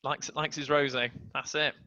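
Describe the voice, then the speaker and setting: A man's voice: mid-range voice, level tone, moderate pace, bright, forceful tone, no echo, muffled recording. A man hosting a casual video podcast, joking and stumbling over restarts as he talks. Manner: chatty, jokey, stumbling restarts. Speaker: podcast host.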